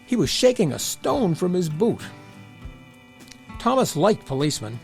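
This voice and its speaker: A man's voice: high voice, big pitch swings, measured pace, gravelly, faint music behind. A man reading a scripted children's story as the narrator.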